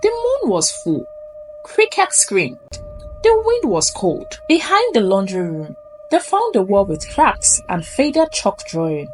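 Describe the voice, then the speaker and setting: A woman's voice: mid-range voice, wildly swooping pitch, slow, rough recording. A Nigerian woman narrating a spooky folktale in a suspenseful, theatrical way. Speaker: storyteller. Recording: music under the voice. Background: background music.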